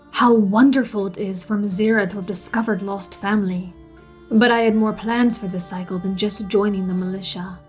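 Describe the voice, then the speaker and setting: Woman doing a gravelly drow elf guy telling his fantasy story, sulky n wry, music behind. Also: mid-range voice, a little mellow, natural voice. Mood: sulky, wistful. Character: male drow elf narrator, sulky, wry, self-deprecating. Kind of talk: first-person fantasy audio story narration.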